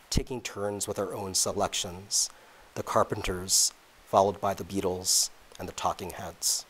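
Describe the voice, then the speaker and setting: A man reads from his own novel at a public event. His voice is very deep, and he takes the prose at a measured, deliberate pace. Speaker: author reading his novel. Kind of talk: reading aloud from a novel.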